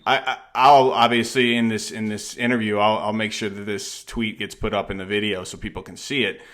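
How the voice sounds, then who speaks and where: A man hosting a conversational podcast interview, speaking in a halting way with rambling lead-ins. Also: fairly low pitch, little pitch movement, fairly bright tone.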